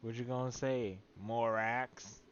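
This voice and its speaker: A man's voice: mid-range voice, level tone, takes it slowly, lo-fi sound, faint music behind. A man casually commenting as he streams a video game, with wry, laid-back asides. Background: video game audio.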